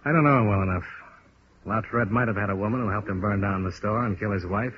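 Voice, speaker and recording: A tough, steady investigator played by a man with a low voice and a clipped, hard-boiled delivery. He sounds like a 1950s radio detective, on a dull, clearly noisy old recording. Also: fast, lightly acted. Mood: thoughtful. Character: steady, tough investigator hero who narrates his case. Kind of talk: 1950s radio detective drama, dialogue and narration.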